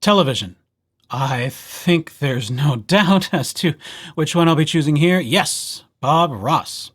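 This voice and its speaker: A man presenting a casual software tutorial as a library host, with playful, wry asides. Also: fairly high pitch, expressive, slow, slightly rough. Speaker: library presenter hosting a digital services tutorial.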